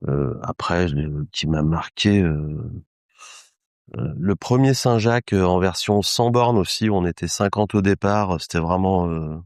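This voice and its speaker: Low-voiced man rambling with hesitations. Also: measured pace, darkish tone, no echo, studio quality. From Lyon, France. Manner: hesitant, rambling, many fillers and restarts, casual slang. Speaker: illustrator, guest. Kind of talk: podcast interview.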